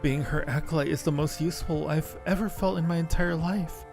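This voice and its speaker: A man's voice: fairly high pitch, fairly even intonation, brisk, gravelly, voice pushed up. A man voicing a lovestruck undead acolyte from a horror game, narrating with earnest, brooding resignation. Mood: fervent devotion. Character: devoted undead cave acolyte, fatalistic and lovestruck. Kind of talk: dramatic reading of game narration. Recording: music behind the voice.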